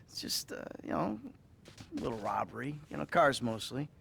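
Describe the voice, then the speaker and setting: A middle-aged American criminal in a game cutscene. He is wry, halting and foul-mouthed, swinging widely in pitch. There is faint noise behind him. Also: lively intonation, creaky, a bit bright. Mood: evasive, wry. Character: troubled middle-aged male criminal, evasive, foul-mouthed, self-loathing. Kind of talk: video game cutscene acting.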